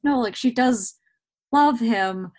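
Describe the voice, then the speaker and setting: A woman's voice: a little high, takes it slowly, a little mellow. A woman speaking casually as an actor being interviewed, telling stories and voicing her own thoughts as quotes.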